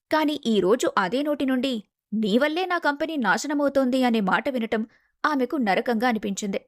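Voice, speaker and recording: Casting: female story narrator, slightly high voice, dramatic scripted delivery, dry close sound. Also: dry recording.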